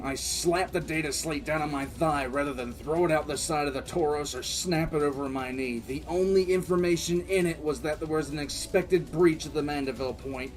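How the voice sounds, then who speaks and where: A frustrated military officer , acted by a man, narrates his war story in an audio drama. His voice sits a little high for a man, emphatic and tense with weary exasperation. Music plays softly behind him. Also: natural voice. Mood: grim, frustrated. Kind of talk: dramatic first-person scripted narration. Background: background music.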